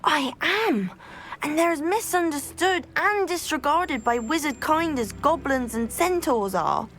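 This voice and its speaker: A woman's voice: high voice, animated, a little slow, a bit bright, a little hiss or hum. A young woman playing an earnest, polite teenage witch student in a video game. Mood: passionate, earnest. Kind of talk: video game cutscene acting.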